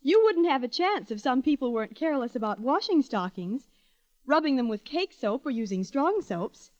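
A woman's voice: high voice, very animated, moderate pace, a little mellow. An actress playing a chipper, scolding soap spokeswoman in a 1940s radio commercial. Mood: bright scolding. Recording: muffled vintage 1940s radio recording.

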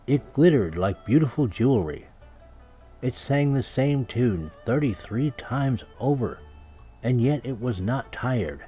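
A man's voice: fairly low pitch, warm tone. A man narrating a scripted children's story as a storyteller. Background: music and birdsong sound effects.

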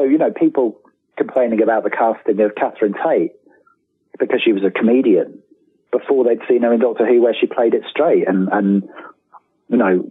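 A man gives casual interview answers over a thin, roomy phone line. His voice is husky and on the low side. He often restarts and rephrases as he works through a thought. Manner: halting, rephrases and repeats words as he thinks aloud. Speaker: guest author and lifelong fan. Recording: tinny, roomy telephone-like line.

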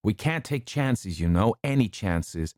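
A man's voice: fairly low pitch, expressive, a little slow, a little mellow, no echo, clean studio sound, voice pushed up. A man voicing a stern, dignified older doctor who speaks levelly and firmly, in an audiobook reading. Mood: urgent.

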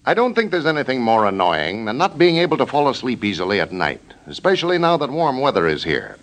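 A male American announcer from 1930s radio reads a sponsor message in a polished, measured way. His mid-range voice has wide, lively inflection and a reassuring manner on a vintage broadcast recording. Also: mid-range voice. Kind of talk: 1930s scripted radio advertising read.

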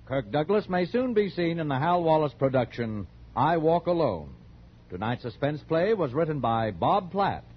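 A man's voice: on the high side, big pitch swings, normal speed, husky, muffled recording. An American man working as a radio announcer, reading scripted credits in 1940s broadcast style.

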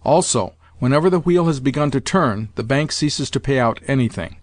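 Audiobook role: cautious, polite male companion, played by a man, mid pitch, measured, dry sound. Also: expressive, no room sound, lightly acted. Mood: patient, cautioning. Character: cautious, polite younger male companion, remonstrating with an old lady. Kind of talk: audiobook character dialogue.

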